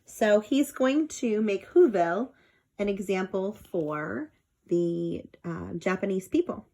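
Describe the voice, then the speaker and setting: A woman's voice: mid-range voice, takes it slowly, creaky, dark tone. A woman reading a children's book aloud, adding simple explanatory asides for young listeners. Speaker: read-aloud storyteller.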